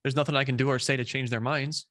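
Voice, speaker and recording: A young man speaking as a guest in a casual interview, talking in a halting way with many fillers and repeated words. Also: mid-range voice, steady tone, a bit bright. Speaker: guest, social media creator.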